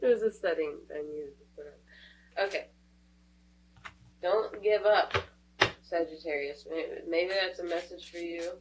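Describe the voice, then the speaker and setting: A woman doing a casual tarot reading, stuffed up from a cold, chatty and thinking aloud as she goes. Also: lowish voice. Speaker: tarot reader. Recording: voice a bit distant from the mic, faint hum.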